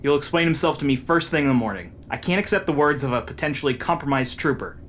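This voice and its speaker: A man's voice: fairly high pitch, lively intonation, fast talker, fairly bright tone, slight background noise. A man playing a stern, secretive military intelligence commander in an audio drama, speaking in a formal, authoritative way.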